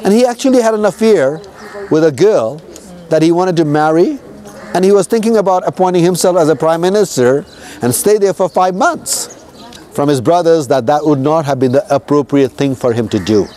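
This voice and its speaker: A man's voice: on the high side, animated, normal speed, mellow. A man from Nepal guiding tourists outdoors, telling history in a casual, conversational way and often checking in with his listeners. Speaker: tour guide.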